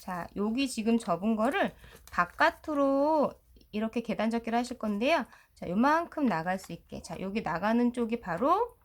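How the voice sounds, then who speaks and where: A woman's voice: fairly high pitch, very animated, moderate pace. A middle-aged woman teacher giving a step-by-step craft tutorial in a polite, explanatory way. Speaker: children's storytelling teacher and video host.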